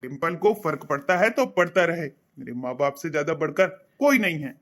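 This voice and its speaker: A man's voice: a little high, animated, fairly quick, a bit bright. A young man voicing a devoted son in a cartoon, eager and reassuring. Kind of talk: cartoon voice acting.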